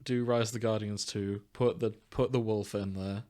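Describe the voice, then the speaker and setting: A man's voice: on the low side, steady tone, normal speed, warm tone. A man hosting a podcast, bantering casually and jokily with his co-hosts in an enthusiastic way. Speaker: podcast host.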